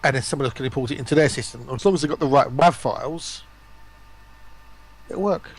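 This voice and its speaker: A man with a mid-pitched voice hosting an informal stream. His delivery is conversational and unscripted, like chat among friends. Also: brisk, husky. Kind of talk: casual livestream chat.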